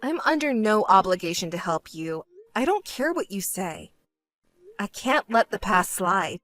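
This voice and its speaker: A woman's voice: mid-range voice, sing-song, a little slow, fairly bright tone, faint music behind. A woman voicing a stern, fed-up sister with a grudge in a dramatized story, cold, firm and scolding.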